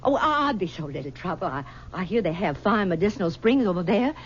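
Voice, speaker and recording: A woman's voice: on the low side, lively intonation, a little hiss or hum, natural voice. An actress playing a possessive, aging mother who coaxes and stammers, in a 1970s radio drama. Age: older. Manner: coaxing and possessive, halting with stammers. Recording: dull 1970s radio broadcast.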